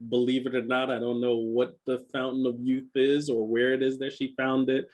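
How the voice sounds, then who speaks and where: A man in his thirties with a middle-pitched voice speaks as a podcast guest. He tells his personal story in a reflective way, now and then doubling back on his words. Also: level tone, normal speed, a little mellow. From Jamaica, moved to Philadelphia as a child. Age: 30s. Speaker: podcast guest, school enrollment director.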